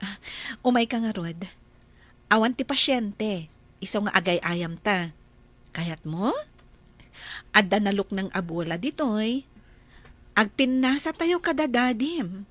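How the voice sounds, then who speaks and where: A woman playing a gentle young woman who cares for a frightened child, polite and soft-spoken. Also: medium pitch, takes it slowly, long pauses. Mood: coaxing. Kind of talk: radio drama acting.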